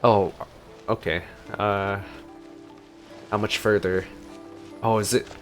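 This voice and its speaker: A man's voice: lowish voice, fairly even intonation, slow, faint music behind. A man giving casual gameplay reaction commentary, musing and thinking aloud as he plays. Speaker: gameplay streamer.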